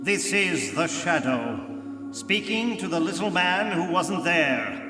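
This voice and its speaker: A man acting a commanding, all-knowing invisible crime-fighter in old-time radio drama style, declaiming slowly and grandly with menace. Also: on the high side, animated. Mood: menacing, commanding. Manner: grandiose, slow, taunting declamation. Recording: live in reverberant church, music behind.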